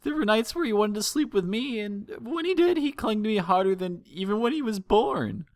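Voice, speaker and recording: A man voicing a distraught mother pony in a fan fiction audiobook, halting and breathy as the character pleads for their child through sobs. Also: sits high, animated, dry recording, pitched above the performer's own voice. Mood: tearful, grieving. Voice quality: breathy, trembling. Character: distraught, tearful mother pony pleading for her missing son.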